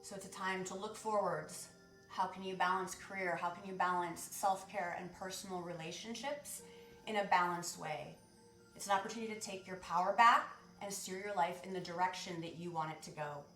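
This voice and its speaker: A woman hosting a guided meditation, speaking to listeners in a measured, instructive way as she gives spiritual guidance. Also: lowish voice, steady tone, fairly bright tone. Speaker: guided meditation and sound bath host. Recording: roomy echo with music. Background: music.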